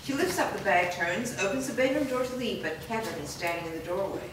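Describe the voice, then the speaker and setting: A woman's voice: mid-range voice, animated, fast. A woman reading scripted stage directions as the narrator of a staged reading. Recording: echoey room, distant mic, clear noise.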